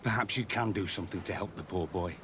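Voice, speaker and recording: An actor playing a weary, embittered military captain in a video game cutscene, with a bitter, self-deprecating manner. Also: male voice, sits low, fast talker, background noise, lightly acted. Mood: grim, weary.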